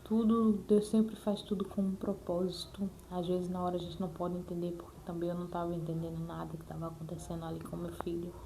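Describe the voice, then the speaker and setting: Brazilian woman, low voice, earnest and mellow. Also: little pitch movement, fast talker, creaky, hardly any pauses, a little hiss or hum, poor audio. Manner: earnest, repetitive, frequent check-in tags. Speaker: mother sharing her experience in a vlog. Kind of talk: casual personal talk to viewers.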